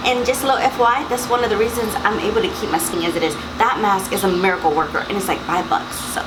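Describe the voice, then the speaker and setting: A young woman with a slightly raspy morning voice, chatting casually through a beauty box unboxing and reading product labels aloud. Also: medium pitch, quick pace, heavy background noise. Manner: chatty, reads labels aloud, frequent mm-hmms. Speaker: beauty vlogger.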